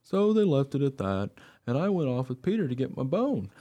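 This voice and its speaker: Man as a mellow, animated, wry mongrel dog. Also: mid-range voice, fairly quick. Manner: wry, conversational storytelling. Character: plain-looking mongrel dog narrator, wry, good-natured and unpretentious. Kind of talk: radio play first-person narration.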